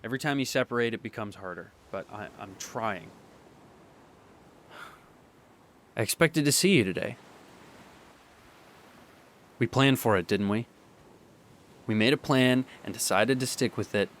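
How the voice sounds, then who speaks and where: A young man performing a radio drama monologue, halting and sighing as he pleads with an absent partner. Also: mid-range voice, a bit bright. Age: young adult. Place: beside the sea.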